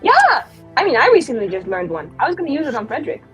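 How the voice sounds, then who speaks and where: A woman chatting in character during a tabletop roleplaying game, animated, acting out the lines of the people she quotes. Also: high-pitched, quick pace, muffled recording, soft background music. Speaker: player. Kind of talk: casual in-character roleplay chat.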